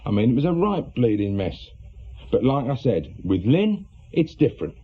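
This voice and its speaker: An actor playing an awkward middle-aged reformed small-time crook with an English accent, a worried husband in a British radio drama, husky and hesitant. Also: male voice, medium pitch, sing-song, normal speed. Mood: earnest, troubled. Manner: hesitant, colloquial, defensive. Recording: muffled, lo-fi radio drama recording.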